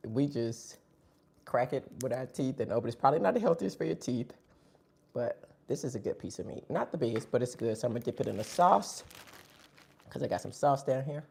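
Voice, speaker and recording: Gravelly, husky voice, chatting while eating. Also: medium pitch, lively intonation, fairly quick, darkish tone. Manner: chatty, talks between bites. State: sniffling. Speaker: live-stream host. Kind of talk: casual live-stream chat while eating.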